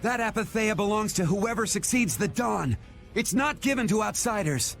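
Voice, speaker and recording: An actor playing a sullen, self-doubting young heir in a video game. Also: male voice, high voice, lively intonation, moderate pace, vocal fry, soft background music.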